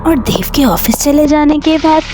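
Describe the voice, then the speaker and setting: A woman's voice: high-pitched, animated, normal speed, background noise. A woman playing a frightened, suspicious and determined young wife in a dramatised horror story. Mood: narrating, troubled. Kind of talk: dramatised Hindi moral horror story narration.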